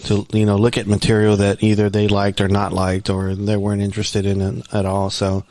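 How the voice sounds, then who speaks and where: A man's voice: fairly low pitch, completely flat delivery, a little fast, a little mellow, dry recording. A man co-hosting a podcast in easygoing chat, leaning on "you know" and "like.". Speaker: podcast co-host. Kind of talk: casual chat.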